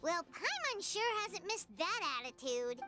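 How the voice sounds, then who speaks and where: A woman voicing a tiny, cheeky, excitable childlike fairy companion in an anime game, in exclamatory fashion. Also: very high-pitched, a little slow, heavily acted. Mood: surprised. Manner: speaks of herself in the third person, exclamatory. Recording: loud game music behind.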